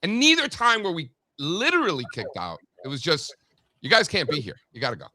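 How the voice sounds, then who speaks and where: Animated joking male show host, bright voice. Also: a little high, brisk, no room sound. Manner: joking, mock-serious storytelling. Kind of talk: casual banter and storytelling.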